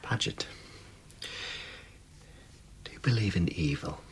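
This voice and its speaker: Male actor as a fearful doctor, very low and husky, voice swinging wildly, stammering. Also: expressive, takes it slowly, whispered, full character voice. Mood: haunted. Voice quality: breathy, shaky voice. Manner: stammering, fearful, bursts of urgency. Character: troubled frightened older male doctor. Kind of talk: radio drama acting.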